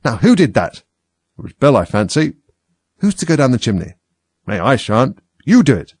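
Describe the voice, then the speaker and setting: A man voicing squabbling rustic animal workmen who bark brisk instructions in a storybook. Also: medium pitch, very animated, darkish tone, breathy edge, dry recording, pitched above the performer's own voice. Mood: bustling, bossy. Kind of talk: storybook character voice.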